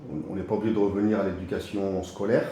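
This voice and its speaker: A man's voice: low voice, fairly even intonation, quick pace, warm tone. A man interviewing a job candidate, asking structured questions in a polite, encouraging way with fillers. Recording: very echoey room with faint noise.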